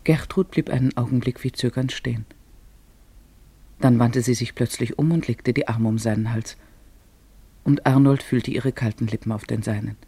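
A man narrating a literary radio play in a measured storytelling manner. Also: medium pitch, fairly even intonation, warm tone, husky, no room sound.

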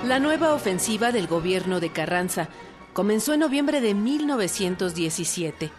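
A woman with a Mexican accent giving scripted documentary narration for a radio drama. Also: mid-range voice.

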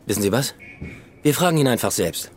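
An actor playing a brusque, impatient police inspector in old Berlin, curt and commanding, in a radio drama. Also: male voice, medium pitch, lively intonation, brisk, noisy background, lightly acted. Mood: curt, determined. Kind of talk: modern German radio drama acting.

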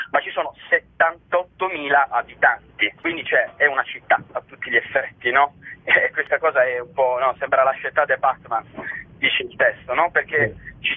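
A man with a Roman Italian accent talks at length in an informal radio phone interview, explaining and often adding tag questions. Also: medium pitch. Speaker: actor and author interviewed about his show. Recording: muffled narrowband phone line on radio.

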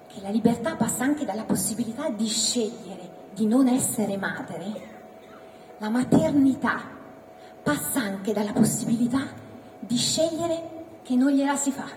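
A woman's voice: a little high, dark tone. A woman delivers a stand-up monologue. She is mock-earnest and provocative, leaning on rhetorical questions. The recording is echoey and distant, as if in a hall. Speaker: stand-up comedian. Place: live indoor theatre venue. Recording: echoey hall, distant mic, faint noise.

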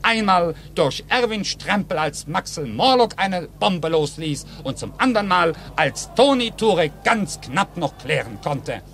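A man's voice: sits high, very animated, a little slow, creaky, few pauses, a bit bright. A man giving detailed live play-by-play football commentary on 1950s radio, in short clipped phrases from a stadium. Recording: noisy old 1950s radio recording.

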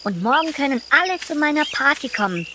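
A woman's voice: sits high, sing-song, brisk, background noise. A woman playing a scatterbrained, kindly young mouse boy in a children's audio drama, with a playful manner. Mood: excited. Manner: playful, stammers when flustered, invents cute compound words.

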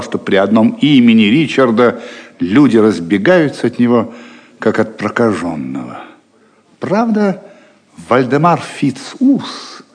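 A man narrating a literary audio play in a husky voice with dramatic, expressive phrasing. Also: medium pitch.

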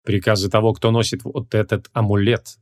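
A man voicing a proud, smug ancient wizard in an audiobook, with a gruff, lordly and measured delivery. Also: on the low side, level tone, close to an everyday voice. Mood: matter-of-fact, smug.

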